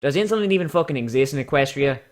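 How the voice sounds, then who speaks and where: A man's voice: mid-range voice, lively intonation, rapid-fire. A man doing a sarcastic, profane read-aloud with casual commentary, mocking and theatrical. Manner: swears freely, mocking and theatrical. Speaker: host of a dramatic reading show.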